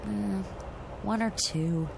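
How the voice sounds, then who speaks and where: Anxious young woman, creaky voice, hesitant and wistful, radio drama, music underneath. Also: medium pitch, slow pace. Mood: sheepish, teasing. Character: anxious young woman stranded in an eerie empty town.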